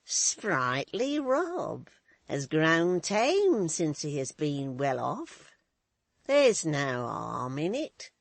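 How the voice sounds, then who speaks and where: Reader doing a slow, coaxing old woman. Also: medium pitch, wildly swooping pitch, gravelly, dry recording, full character voice. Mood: prying. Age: older. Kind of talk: audiobook character voice.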